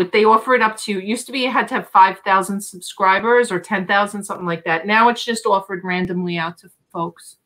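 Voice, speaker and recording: A woman's voice: mid-range voice, a bit bright, poor audio. Woman, New York accent, middle-aged, chatty live auction host, small home room, webcam. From Long Island, New York. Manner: chatty, thinking aloud while reading album titles. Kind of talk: casual live-stream selling records.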